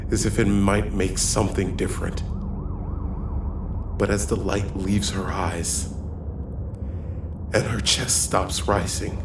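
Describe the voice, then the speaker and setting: A man's voice: sits low, background noise. A man playing a shattered, grieving police sergeant. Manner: halting, stricken, breaking off. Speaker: sergeant narrator. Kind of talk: first-person audio drama narration. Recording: roomy, with music.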